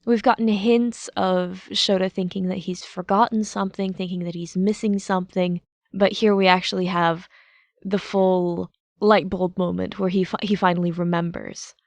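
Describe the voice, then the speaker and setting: A woman's voice: lowish voice, little pitch movement, moderate pace, gravelly, no room sound. A woman narrating fiction and sharing her reflective thoughts on it as a podfic reader.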